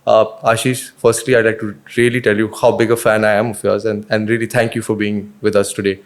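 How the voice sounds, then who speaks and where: A man's voice: on the low side, level tone, a little fast. Indian-accented man hosting an interview. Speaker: podcast host.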